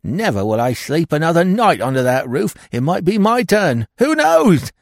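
A man's voice: fairly high pitch, very animated, moderate pace, gravelly, no room sound, studio quality, voice pushed up. A man voicing a frightened, superstitious female housemaid who wails her lines dramatically. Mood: terrified. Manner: wailing, breathless and dramatic.

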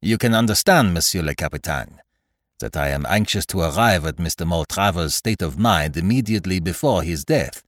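A man voicing a genteel, shrewd foreign detective in an audiobook, speaking formally and deliberately with the odd French phrase. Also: low-pitched, dry recording, clean studio sound. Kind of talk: audiobook character voice.

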